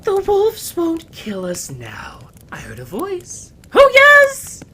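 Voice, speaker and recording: A man's voice: very high voice, wildly swooping pitch, slow pace, sharp tone, voice pushed up, full character voice. A man voicing a deranged, gleeful cultist killer in a fantasy tale, cackling and taunting. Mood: manic glee.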